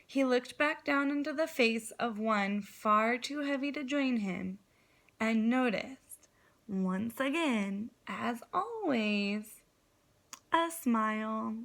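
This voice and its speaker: A woman's voice: a little high, lively intonation, slow, fairly bright tone. A woman kindergarten teacher reading a story aloud expressively, guiding young listeners with simple questions and dramatic phrasing.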